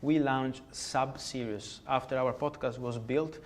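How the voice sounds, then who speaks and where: A man's voice: mid-range voice, fairly even intonation, measured pace, a little hiss or hum. A man with an Italian accent, speaking English as a guest in an interview, hesitant with many fillers and restarts. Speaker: podcast guest. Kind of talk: conversational interview.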